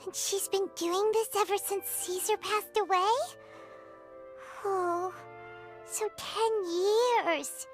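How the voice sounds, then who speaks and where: A woman voicing a tiny, childlike, inquisitive fairy companion in a video game, with a squeaky voice. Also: steady tone, slow. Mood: sad wonder. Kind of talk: video game character acting. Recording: music behind the voice.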